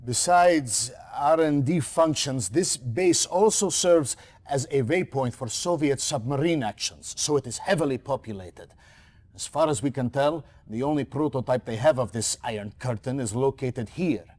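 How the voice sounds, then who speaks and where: An actor playing a sober military intelligence officer in a video game cutscene, giving a measured, matter-of-fact report. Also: male voice, medium pitch, darkish tone, natural voice. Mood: businesslike.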